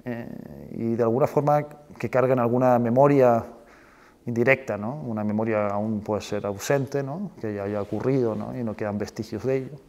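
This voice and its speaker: A man's voice: medium pitch, steady tone, normal speed, darkish tone. A man from Spain and Chile talking reflectively as a film director in an interview, searching for words and often ending phrases with a questioning tag. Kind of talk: reflective interview talk about own work.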